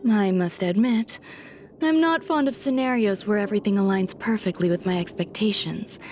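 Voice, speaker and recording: An actress voicing an aloof genius woman scientist in a video game, speaking in a measured, formal, detached way. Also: medium pitch, a little mellow, soft background music, lightly acted. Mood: detached, musing. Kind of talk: scripted video game dialogue.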